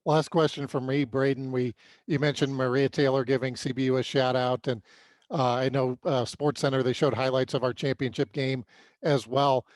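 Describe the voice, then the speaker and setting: A man's voice: medium pitch, flat delivery, moderate pace, dry recording. A man hosting a sports podcast, casually setting up and asking interview questions.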